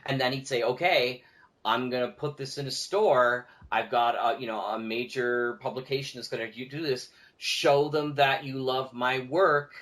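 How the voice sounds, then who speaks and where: A man's voice: medium pitch, steady tone, moderate pace, a bit bright. A man with a Canadian accent telling stories casually as a podcast guest, acting out remembered remarks as he goes. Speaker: podcast guest, audio drama producer and writer.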